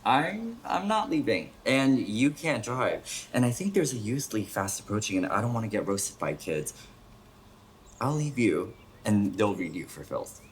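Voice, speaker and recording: A man's voice: lowish voice, big pitch swings, measured pace. A blunt young American guy as the pushy friend in a naturalistic radio drama. His mid-range voice swings expressively as he needles in a casual, wry way. There is faint ambient noise behind him. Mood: stubborn. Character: blunt, teasing young male friend, stubbornly pushing. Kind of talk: naturalistic radio play acting.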